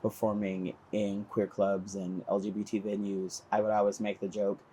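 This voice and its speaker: A woman's voice: rumbling low, level tone, normal speed, dark tone, slight background noise, rough recording. Playful woman in her fifties. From Chicago, USA. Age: 50s. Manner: playful, joking. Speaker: interviewee, entertainer. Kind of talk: documentary interview.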